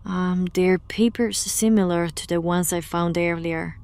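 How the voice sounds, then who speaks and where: A woman's voice: fairly low pitch, steady tone, a little slow, darkish tone, clear, resonant tone. A woman acting in an audio drama as a guarded, wry young woman who knows occult rituals and explains them in an understated way. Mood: explaining, serious.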